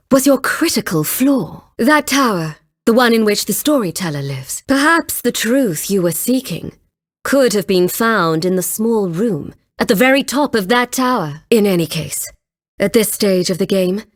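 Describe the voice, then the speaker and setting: An actress playing a haughty, vengeful young woman inquisitor in a dramatic video game, with an imperious, theatrical delivery. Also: medium pitch.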